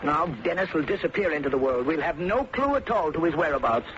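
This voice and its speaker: A man's voice: on the high side, animated, fast talker, fairly bright tone. An actor playing a fussy, indignant male client in an old radio drama, speaking in a prim, formal, reproachful way. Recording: muffled, noisy vintage radio recording.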